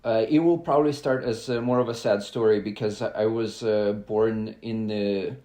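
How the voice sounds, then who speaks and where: Halting, earnest man in an echoey room. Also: on the low side, steady tone, mellow. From Belarus. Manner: halting, many "uh" fillers, earnest and open. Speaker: podcast guest, climber. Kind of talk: casual interview talk.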